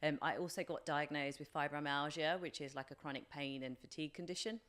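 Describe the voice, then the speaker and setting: A woman in her forties with a British accent and a low-pitched voice. She speaks as a podcast guest, reflective and informal. Her phrasing is hesitant, circling through small filler phrases as she explains herself. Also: fairly even intonation, fairly quick, fairly bright tone. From England, from age three. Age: 40s. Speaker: podcast guest, life coach. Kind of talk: reflective personal interview.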